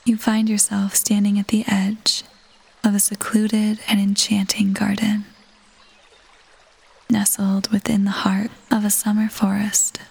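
A woman narrating a scripted guided meditation in a soft, breathy near-whisper, soothing and gentle, pausing between phrases. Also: mid-range voice, completely flat delivery. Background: soft new-age music.

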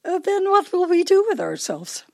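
An actress in a radio play performing a confused, volatile older wife with failing memory. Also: female voice, very high voice, sing-song, normal speed, creaky, mellow, heavily acted. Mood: fretful. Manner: agitated, swinging between accusation and childlike politeness.

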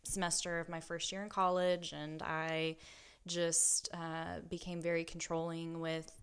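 A woman co-hosting a casual chat podcast with a lowish, smooth voice. She speaks quietly and hesitantly, weighing her words and pausing between phrases. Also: flat delivery. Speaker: podcast co-host.

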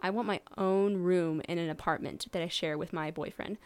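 A woman's voice: on the low side, fairly even intonation, moderate pace, clear, resonant tone. Chatty young woman rambling casually. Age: 20s. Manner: chatty, rambling, frequent likes and you knows. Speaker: podcast host. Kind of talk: casual solo chat.

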